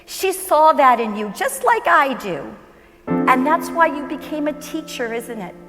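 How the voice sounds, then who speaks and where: A woman's voice: sits high, very animated, over music. A woman playing an affectionate, wry ageing mother in a musical theatre staged reading. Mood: fond, proud. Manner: wry, fond, unhurried. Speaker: stage actress. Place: school auditorium stage. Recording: echoey hall with long reverb.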